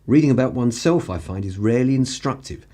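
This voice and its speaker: A man's voice: medium pitch, lively intonation, quick pace, warm tone, natural voice. A man with an upper-class English accent playing an urbane, wry 1930s journalist in a radio play, languid and drily superior. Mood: defensive, cool. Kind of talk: period radio play acting.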